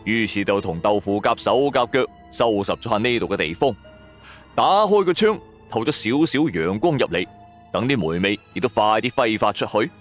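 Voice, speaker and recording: A man with a Cantonese accent narrating a story in an expressive, colloquial, dramatic scripted style. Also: fairly low pitch, moderate pace, faint music behind.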